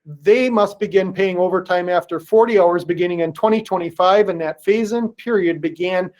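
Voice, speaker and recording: Host reading smooth prepared lines. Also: mid-range voice, normal speed, a little mellow. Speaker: livestream host. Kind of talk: scripted host introduction.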